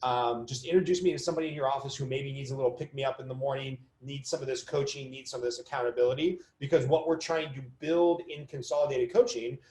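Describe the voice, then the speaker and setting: A man's voice: medium pitch, fairly even intonation, fast talker, hardly any pauses, darkish tone. A man leading an informal motivational coaching call for an online audience, conversational and emphatic, repeating key phrases for stress. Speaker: business coach hosting a mortgage coaching call. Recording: roomy, echoing sound.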